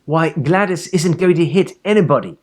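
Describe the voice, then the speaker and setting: A man voicing a brisk, exasperated mother in a storybook, speaking firmly and insistently. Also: a little high, lively intonation, pitched above the performer's own voice, lightly acted. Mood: dismissive. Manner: firm, insistent. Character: exasperated, brisk, firm mother running a pageant.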